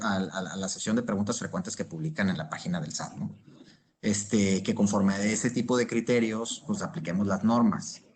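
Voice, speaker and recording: A man with a Mexican accent giving informal legal commentary as a panelist, restarting phrases and checking in with short question tags. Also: fairly low pitch.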